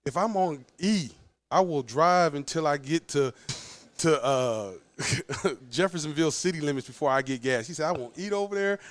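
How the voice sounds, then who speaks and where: A man's voice: fairly high pitch, normal speed, no room sound. A man in his forties, a head football coach, telling stories with frequent laughs in a casual podcast interview. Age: 40s. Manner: animated storyteller, laughs often.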